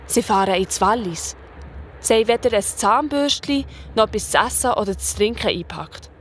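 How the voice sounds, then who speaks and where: A woman's voice: medium pitch, animated, normal speed, fairly bright tone, slight background noise. Swiss German woman narrating a children's story. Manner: colloquial storytelling.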